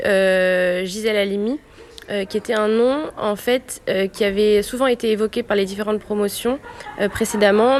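A young woman from France, a civil service student, answering interview questions earnestly with many hesitations. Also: medium pitch.

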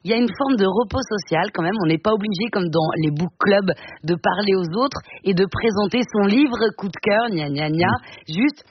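A woman's voice: medium pitch, lively intonation. Wry woman reading a radio culture column. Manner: chatty and wry, quick asides. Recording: dull radio broadcast with hiss.

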